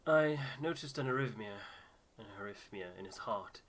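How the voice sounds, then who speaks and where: A man voicing a careful, concerned ship's doctor in a game, measured and formal. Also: lowish voice, lively intonation, a bit bright. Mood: grave, concerned. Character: earnest, discreet male expedition doctor, concerned and careful.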